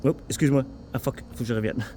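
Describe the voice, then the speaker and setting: A man with a Quebec French accent chatting casually in a rambling, halting way, repeating himself and swearing informally. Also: mid-range voice, warm tone, a little hiss or hum. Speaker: vlogger talking with an AI assistant.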